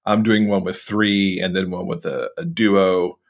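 A man speaking as a podcast guest in a relaxed, informal conversation. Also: low voice, measured pace, creaky. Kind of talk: casual chat.

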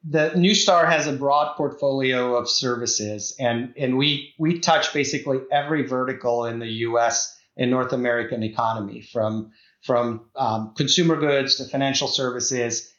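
A man's voice: medium pitch, steady tone, moderate pace, fairly bright tone, echoey room, muffled recording. A middle-aged man from the Czech Republic, a guest executive talking in a podcast interview, hesitant, with many fillers and restarts.

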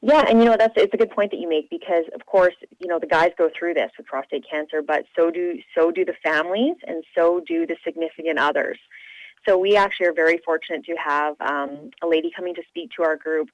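A woman's voice: on the low side, fairly even intonation, a little fast, no echo. A woman speaking in an upbeat, emphatic, conversational way as an interview guest over the telephone. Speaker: radio show personality being interviewed as charity event organizer. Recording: dull lo-fi telephone line.

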